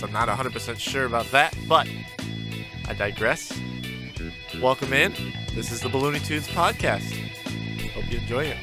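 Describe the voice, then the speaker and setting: A young man hosting a solo podcast from his basement, chatting freely and rambling with lots of fillers. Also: mid-range voice. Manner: rambling, freestyle with many fillers. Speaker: podcast host. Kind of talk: casual solo podcast chat. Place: home basement with concrete walls. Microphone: desk microphone on camera.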